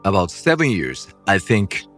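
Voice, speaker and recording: A man's voice: deep voice, huge pitch swings, a little slow, fairly bright tone, faint music behind, full character voice. A man voicing a callous, brazen cheating husband in a dubbed manga drama. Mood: brazen, cold.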